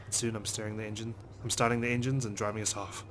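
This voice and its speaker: A man's voice: lowish voice, little pitch movement, fast, heavy background noise. A man hosting a let's play, reading visual novel narration expressively with side comments and frequent swearing.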